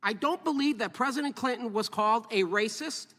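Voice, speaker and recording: Man, politician, high and bright, formal emphatic speech, long echoing hall. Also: a little fast. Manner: emphatic, rhetorical questions to the audience.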